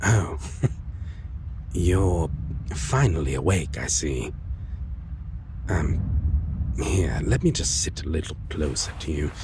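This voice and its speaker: A man playing a suave, teasing vampire lover in an intimate dark fantasy audio roleplay, theatrical and fond of endearments. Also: very deep voice, expressive, noisy background, full character voice. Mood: soothing, wry. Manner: theatrical, teasing, endearments, soft chuckles, hesitant pauses. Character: suave teasing male vampire, former hunter, long-lost lover.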